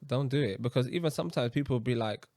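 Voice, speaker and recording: A man, co-hosting a casual podcast and defending himself in animated banter. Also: medium pitch, fast talker, no room sound, clean studio sound. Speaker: podcast co-host.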